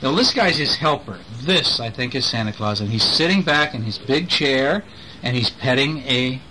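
A middle-aged man reading a picture storybook aloud to a child in an expressive way, with chatty asides and questions. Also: medium pitch, moderate pace, poor audio. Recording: home recording with faint background noise.

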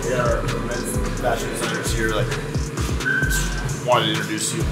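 Thirties Texas man, gym owner, rambly, a bit off-mic with loud music behind. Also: on the low side, monotone, normal speed, far from the mic. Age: 30s. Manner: rambling, lots of like and bro, swears casually. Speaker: gym owner giving a tour. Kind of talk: casual chat. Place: driving a pickup truck, then walking indoors.

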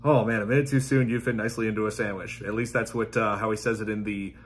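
A man in his thirties hosting a casual reaction video in an amused, halting way, often laughing as he talks. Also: fairly low pitch, fairly even intonation. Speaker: YouTube host reacting to video game clips. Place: small indoor room at home.

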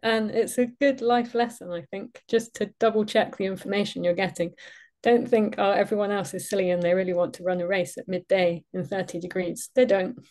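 A British woman in her forties, a neurosurgeon, gives a measured, reflective webinar talk over a video call. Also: medium pitch, steady tone, darkish tone. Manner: measured, reflective, with frequent ums. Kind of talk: webinar presentation. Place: indoor room, video call.

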